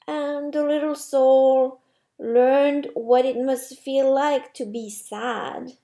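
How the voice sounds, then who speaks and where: A woman reading a story aloud in an expressive storybook narration style. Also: high-pitched, slow. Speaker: story reader.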